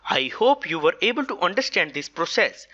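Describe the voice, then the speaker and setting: Bright, lively tutorial instructor, muffled recording. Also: medium pitch, brisk. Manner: methodical, explanatory. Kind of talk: scripted software tutorial narration.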